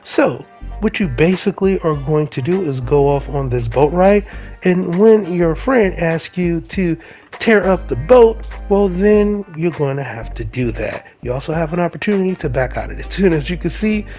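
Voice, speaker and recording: A man's voice: on the high side, animated, normal speed, warm tone. A man doing a video game walkthrough voice-over, addressing his audience like a showman. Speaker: game guide narrator. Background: music.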